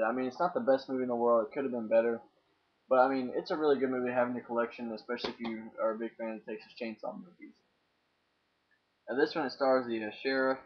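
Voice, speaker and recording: A young man gives a casual, unscripted review to camera in a hesitant, rambling way, repeating himself. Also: mid-range voice. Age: young adult, about 18. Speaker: amateur DVD reviewer. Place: small room indoors with posters. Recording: dull, lo-fi webcam audio.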